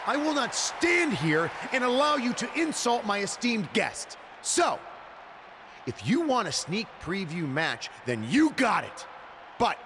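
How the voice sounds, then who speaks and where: A man's voice: on the high side, fairly bright tone, full character voice. A man playing a showboating wrestler in a video game, delivering a grandiose, theatrical promo with noise in the background. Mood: indignant. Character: showboating male wrestler pitching matches like movie blockbusters.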